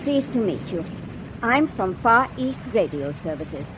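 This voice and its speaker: A woman's voice: medium pitch, big pitch swings, measured pace, noisy background. An actress playing a polite, persistent woman radio reporter in a radio drama. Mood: polite, insistent.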